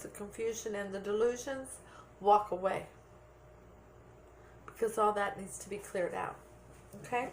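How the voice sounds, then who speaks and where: A woman's voice: a little high, a little hiss or hum. A woman giving a tarot reading to viewers in an earnest, repetitive way, checking in with "okay?" and losing her voice a little. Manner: earnest, repeats points with "okay?". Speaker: tarot reader.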